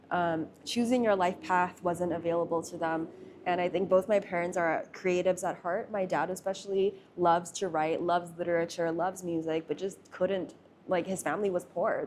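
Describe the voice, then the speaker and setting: A woman's voice: on the low side, darkish tone, slight background noise. Chatty young woman from Taiwan. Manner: chatty, uses many fillers. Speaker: sound artist guest. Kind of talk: casual conversation.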